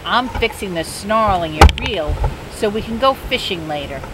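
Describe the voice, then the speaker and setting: A busy, cheerful father in a children's story, as an older woman reads him turns down requests in a firm, emphatic way. The voice stays close to the reader's own middle pitch, with steady outdoor noise behind it. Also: moderate pace, natural voice. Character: busy, cheerful dad at the beach.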